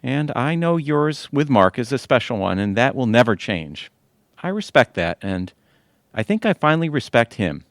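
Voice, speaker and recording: Man acting as an affectionate husband, very expressive pitch. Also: moderate pace, dry recording, studio quality. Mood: sincere. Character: affectionate, joking middle-aged husband. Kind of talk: audio theatre comedy-drama acting.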